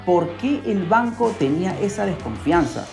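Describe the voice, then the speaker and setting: A man's voice: sits high, very animated, normal speed, creaky, darkish tone. A man hosting a podcast, telling a story casually and animatedly, with rhetorical questions and asides to the listeners. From Peru. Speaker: podcast host. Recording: music behind the voice.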